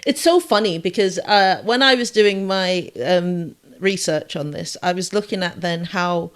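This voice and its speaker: A woman's voice: lowish voice, measured pace. A woman born in Jamaica and raised in the south of England, with a southern English accent, chatting casually and thinking aloud with restarts. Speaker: podcast co-host. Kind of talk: casual conversational chat.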